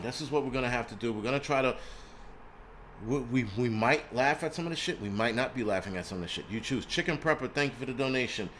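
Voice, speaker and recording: A man's voice: medium pitch, a bit bright, a little hiss or hum. A man, hosting a casual live stream in a blunt, profane, rambling rant. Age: 40s. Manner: rambling with frequent restarts. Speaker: host.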